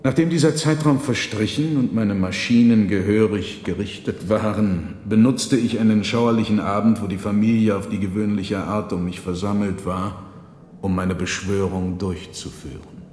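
A man playing a theatrical trickster conjurer in a radio drama, recounting his tale in measured, dramatic, formal language. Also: on the low side, steady tone, a little mellow, a little hiss or hum, lightly acted. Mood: sly, composed. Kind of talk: radio drama storytelling, literary narration. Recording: echoey reverberant hall sound.

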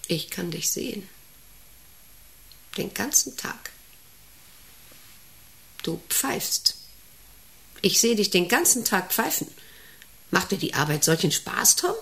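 Creaky-voiced, domineering woman boss. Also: medium pitch, sing-song, slow, pauses often, a bit bright, heavily acted. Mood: commanding, agitated. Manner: rambling, commanding, intrusive questioning. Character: domineering, eccentric female factory director, needling an employee. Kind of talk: German radio play acting.

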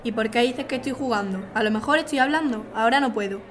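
An actress playing a sullen, defiant teenage daughter in an audio drama. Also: female voice, a little high, fast, close to an everyday voice.

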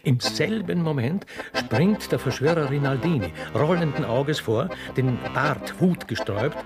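A man's voice: medium pitch, lively intonation, unhurried, music in the background. A man giving theatrical, literary scripted narration of a comic radio play.

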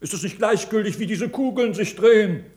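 An actor playing a zealous, sly cardinal in a radio drama, speaking with insistent, scornful rhetoric. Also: male voice, high voice, brisk, darkish tone, lightly acted.

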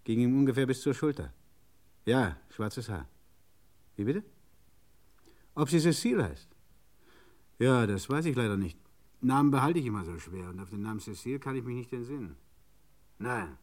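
An actor plays a practical garage man in a radio crime play. His mid-pitched voice moves up and down a lot, and he speaks haltingly, in a matter-of-fact, reassuring manner. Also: animated, a little mellow, close to an everyday voice. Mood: pondering, polite. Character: helpful, practical male garage mechanic on the telephone. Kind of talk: German radio crime drama acting.

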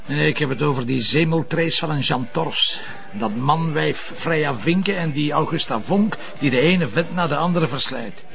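Gravelly, blunt police detective. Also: male voice, on the high side, moderate pace, fairly bright tone, faint music behind. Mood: weary, grumbling. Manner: blunt, wry, colloquial. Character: world-weary, blunt, wry male police detective. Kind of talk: naturalistic TV crime drama acting.

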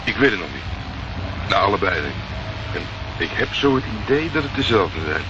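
Muffled, deep-voiced detective. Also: male voice, very animated, normal speed. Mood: brooding. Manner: probing, clipped, matter-of-fact questioning. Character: terse, dogged male private detective. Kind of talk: Dutch radio play acting. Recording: noisy, muffled old radio recording.

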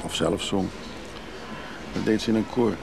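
An actor playing a restless, evasive recovering drinker in a radio play, hesitant and confiding. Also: male voice, on the low side, unhurried, creaky. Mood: wistful. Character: restless recovering drinker, evasive man who craves freedom. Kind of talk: naturalistic Dutch radio drama acting.

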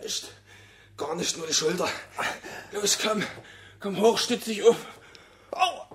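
A man's voice: high voice, slow. An actor playing a hurt, urgent young man helping a friend in a radio drama. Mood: strained, relieved. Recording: loud noise behind.

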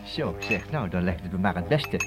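An actor playing an eccentric, kindly older inventor professor in a children's audio drama, stammering, chuckling and repeating himself absent-mindedly. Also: male voice, low-pitched, expressive, normal speed, faint music behind. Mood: musing, absent-minded.

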